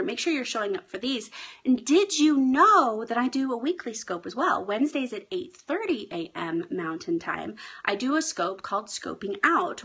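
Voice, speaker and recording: A woman life coach talking casually on a home live stream, a bit hoarse and often checking in with her viewers. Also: medium pitch, bright, forceful tone. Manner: chatty, often asks "right?". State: hoarse, clearing her throat. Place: at home with pets.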